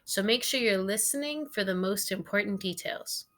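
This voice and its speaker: A woman teacher reading a children's storybook aloud to her students in an expressive way. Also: mid-range voice, normal speed, a little hiss or hum.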